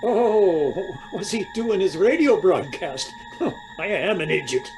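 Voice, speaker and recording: A man's voice: high-pitched, very animated, slow, over music, exaggerated voice, poor audio. An actor playing a genial, slightly dim Irish businessman who talks in a hesitant, folksy way. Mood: amused. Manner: hesitant, folksy, easily amused.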